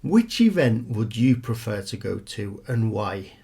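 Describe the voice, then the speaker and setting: A man acting as an exam tutor, giving scripted instructions in a clear, instructional manner. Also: lowish voice, big pitch swings, unhurried, mellow.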